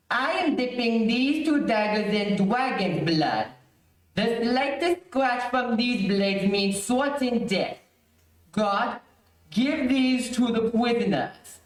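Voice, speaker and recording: An actor playing an imperious, stern rebel prince in an old radio serial, declaiming commands. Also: medium pitch. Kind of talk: 1930s radio serial acting. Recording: echoey room, distant microphone.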